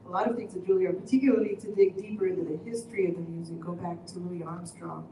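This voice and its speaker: A woman jazz musician and university teacher on a panel, speaking in a measured, thoughtful way with fillers. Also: lowish voice, fairly even intonation. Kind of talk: panel discussion. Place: large indoor studio room, seated panel. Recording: roomy, distant, slightly noisy.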